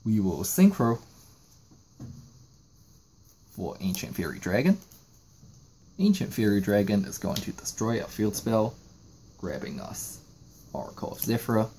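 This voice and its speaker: A man's voice: fairly low pitch, sing-song, normal speed, dark tone, slight background noise. A man narrating a step-by-step card game tutorial, explaining each move in sequence. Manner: explanatory, walking through moves in sequence. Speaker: trading card game YouTuber.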